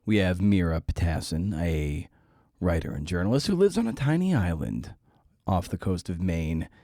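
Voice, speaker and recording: A man's voice: sits low, very animated, moderate pace, warm tone, dry recording, studio quality. A man hosting a podcast in a casual, wry style, often posing rhetorical questions. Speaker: podcast host. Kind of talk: casual podcast monologue and interviewing.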